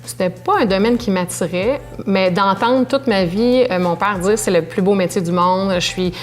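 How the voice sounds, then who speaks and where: A woman's voice: medium pitch, normal speed, faint music behind. A middle-aged woman with a Québécois French accent, talking candidly as an interview guest and filling her speech with lots of fillers. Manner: lively, candid, with frequent fillers. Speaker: business owner, interview guest. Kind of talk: conversational interview.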